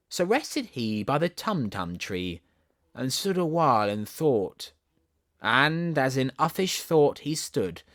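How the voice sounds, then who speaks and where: A man with a Southern English accent reading a storybook aloud as an audiobook narrator, expressive and theatrical. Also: mid-range voice, no echo, clean studio sound. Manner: theatrical, animated.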